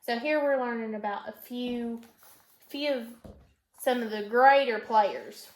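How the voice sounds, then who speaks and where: A woman teacher reading a storybook aloud in an instructional way, pausing to put questions to her pupil and repeat answers. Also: a little high, expressive, a little hiss or hum. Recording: echoey room.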